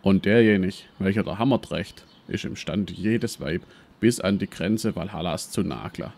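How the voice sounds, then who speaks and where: Self-important professor played by a man, low-pitched, Swabian accent, brash and shady, for a comic detective audio drama. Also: moderate pace, creaky, a little mellow. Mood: explaining. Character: brash, shady male history professor and treasure hunter. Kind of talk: parody detective radio play.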